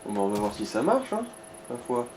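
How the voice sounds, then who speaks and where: A man's voice: medium pitch, huge pitch swings, a little fast, a little mellow, slight background noise. Man commenting on gameplay in an echoey room. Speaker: co-commentator advising the player. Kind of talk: casual gaming commentary. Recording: echoey room, music behind.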